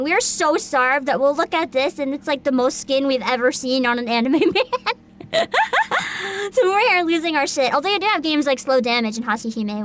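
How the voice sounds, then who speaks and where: A woman hosting a casual livestream chat, giggly, excitable and expressive, with music behind her. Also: high voice. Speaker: livestream host, VTuber.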